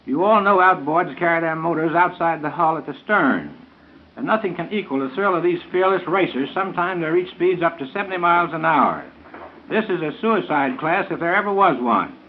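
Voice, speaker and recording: American man, slightly high voice, scripted vintage radio announcement, thin narrow-band sound, faint static. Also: lively intonation, brisk. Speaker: radio guest promoting a sporting event. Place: radio studio. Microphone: vintage studio broadcast microphone. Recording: tinny, faintly noisy 1930s radio broadcast.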